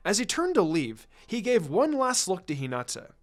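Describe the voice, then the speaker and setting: A man reading fan fiction aloud as an expressive narrator, following a script. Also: high voice, normal speed, soft background music.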